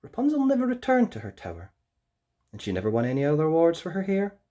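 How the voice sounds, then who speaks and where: A woman narrating a children's fairytale as an expressive storyteller. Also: sits low, fast talker, lo-fi sound.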